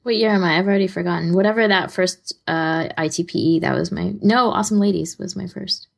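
A woman's voice: on the low side, a little fast, creaky. A woman co-hosting a podcast, talking casually in a conversational, self-deprecating way. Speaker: podcast co-host. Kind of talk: casual chat.

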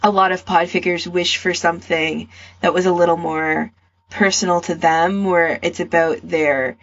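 A woman's voice: lowish voice, steady tone, normal speed. A woman talking casually in a podcast discussion about fan audio. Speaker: podcast speaker, fan podficker. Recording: dull lo-fi voice recording.

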